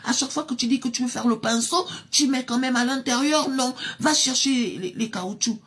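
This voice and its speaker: A woman's voice: moderate pace, a bit bright, husky. A woman voicing an exasperated young woman who firmly scolds her boyfriend. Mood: scolding. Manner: insistent, scolding, high and raised.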